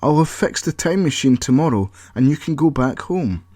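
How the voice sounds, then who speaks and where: A man's voice: fairly high pitch, animated, normal speed, dark tone, no echo, pitched above the performer's own voice. Irritable, protective male inventor for an audio story, voiced by the narrator, exasperated and snappish, very dry sound.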